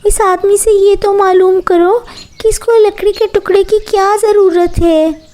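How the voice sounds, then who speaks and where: A small, frail tree from a bedtime storybook, voiced by a woman. The voice is pitched very high and pleads plaintively, like someone weak asking for mercy. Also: fairly even intonation, a little slow, warm tone. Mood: pleading.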